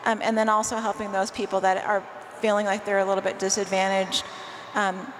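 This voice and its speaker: A woman's voice: mid-range voice, little pitch movement, fairly quick, slight background noise. A woman on a conference panel, speaking thoughtfully with many ums and uhs into a handheld mic. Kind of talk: panel discussion. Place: large conference hall stage. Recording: hall reverb, faint ambience.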